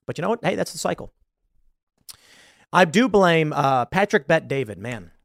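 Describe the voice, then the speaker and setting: A man in his late thirties giving political commentary in a sarcastic, mocking tone. His voice sits fairly high and jumps around a lot. The sound is dry and close, with no room echo. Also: lively intonation, normal speed, vocal fry. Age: 30s. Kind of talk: reacting to a video, commentary.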